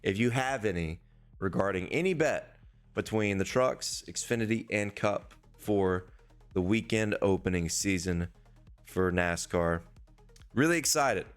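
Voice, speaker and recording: Hoarse man with a low voice. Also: expressive, measured pace, faint music behind. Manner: loose, rambling, profane. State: hoarse, losing his voice. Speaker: sports betting podcast host. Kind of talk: casual solo betting preview, swearing freely.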